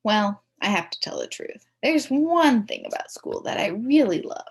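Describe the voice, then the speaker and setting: A woman's voice: a little high, big pitch swings, normal speed, slightly rough. A woman reading a children's story aloud in a theatrical, emphatic way, as a mischievous child telling it. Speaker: storybook reader. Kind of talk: expressive scripted children's story narration.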